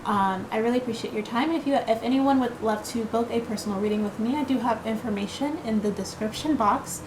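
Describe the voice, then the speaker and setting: A woman's voice: fairly high pitch, fairly quick, noisy background. Chatty woman giving a tarot reading. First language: English. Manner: chatty, reassuring, many fillers and "okay?" tags. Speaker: tarot card reader. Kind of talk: casual tarot reading to viewers.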